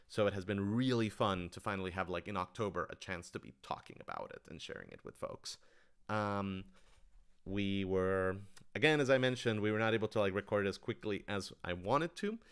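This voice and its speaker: A man's voice: on the low side, a bit bright, smooth. A man hosting a casual livestream Q&A as a writer-director, rambling and thinking aloud as he talks to his audience.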